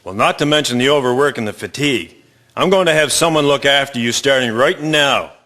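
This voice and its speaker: A man's voice: mid-range voice, fairly quick, pushed and bright, natural voice. A man playing a caring, hearty wartime army colonel in a radio drama, speaking in formal, declamatory phrases. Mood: grave concern.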